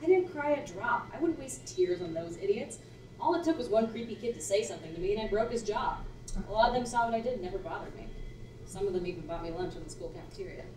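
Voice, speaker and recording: A young woman on a small theater stage playing a blunt, tough-talking woman who is proud and unbothered, with a curt, deadpan delivery. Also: medium pitch, quick pace, a little hiss or hum, natural voice. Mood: defiant. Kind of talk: live stage acting. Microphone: far room mic. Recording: roomy theater sound from far off.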